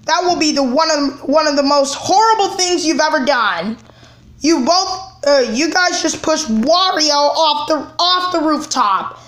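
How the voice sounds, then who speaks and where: A woman doing an angry, high-pitched cartoon parent. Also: fairly bright tone, echoey room. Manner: scolding, halting, repetitive. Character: angry cartoon parent harshly punishing two sons.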